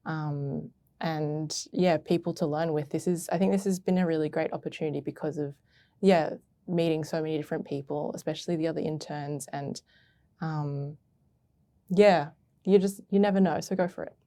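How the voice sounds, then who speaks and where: Woman intern, low mellow voice, dry sound, casual hesitant interview answers. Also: steady tone, normal speed, no room sound. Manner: hesitant, thoughtful, many fillers. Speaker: arts intern.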